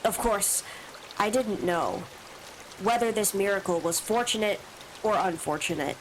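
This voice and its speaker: A woman's voice: medium pitch, lively intonation, measured pace, a little hiss or hum, poor audio. A woman streamer with allergy sniffles, reading a visual novel's first-person text aloud with asides of her own. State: stuffy from allergies.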